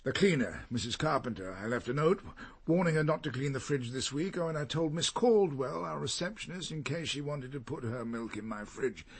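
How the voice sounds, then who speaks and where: A sardonic English barrister in a radio crime drama. He speaks drily and precisely in a mid-pitched voice with a husky edge. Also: lively intonation, fairly quick. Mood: dry, wry. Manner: dry, precise, wry.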